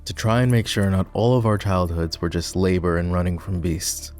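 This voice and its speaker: Proud, bitter war-hero knight for fantasy roleplay ASMR, male actor; lowish pitch, sardonic delivery, soft music underneath. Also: normal speed, natural voice. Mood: grieving, bitter. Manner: scornful, dramatic, sardonic.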